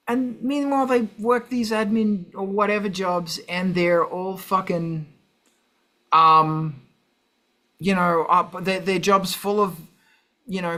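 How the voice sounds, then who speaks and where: A man's voice: high-pitched, bright, forceful tone. A man hosting a casual chat in a brash, sweary, self-mocking grumpy way. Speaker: host.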